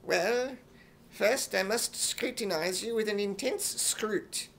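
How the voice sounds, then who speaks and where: Someone doing a doddering, very slow old pawnbroker. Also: mid-range voice, gravelly, fairly bright tone, exaggerated voice. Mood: fussy, doddering. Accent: imitation British. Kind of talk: 1950s British radio comedy acting.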